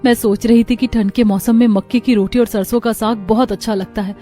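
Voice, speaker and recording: A woman's voice: on the high side, fairly even intonation, brisk, faint music behind, lightly acted. A woman voicing a humble young daughter-in-law. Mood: gentle. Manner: polite, gentle.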